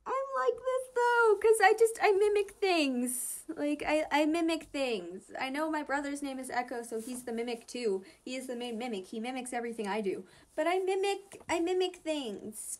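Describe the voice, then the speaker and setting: High-voiced, rambling young-sounding woman. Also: moderate pace, few pauses. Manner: rambling, gushing, giggly. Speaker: host reading fan comic dubs and commenting. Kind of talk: casual chatty reaction with comic read-outs.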